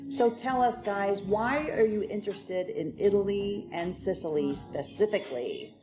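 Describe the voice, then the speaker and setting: A woman's voice: medium pitch, unhurried, over music. A woman hosting a conversational interview in an effusive, affirming way. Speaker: interviewer and host of an expat life show.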